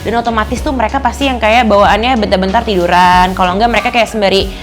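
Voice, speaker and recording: A woman's voice: medium pitch, fairly even intonation, quick pace, faint music behind. A woman in her twenties giving blunt, colloquial opinions in a casual interview. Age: 20s.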